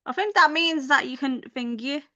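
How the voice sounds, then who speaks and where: A woman's voice: high-pitched, a little fast, pushed and bright, no echo. A woman with a Liverpool accent hosting a casual live stream, chatty and laughing often as she talks to her viewers. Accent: Liverpool (Scouse). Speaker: livestream host. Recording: dull lo-fi stream audio.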